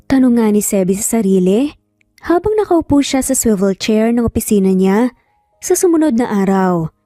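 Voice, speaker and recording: A woman's voice: mid-range voice, warm tone, dry recording, studio quality. A Filipino woman narrating a scripted romance story.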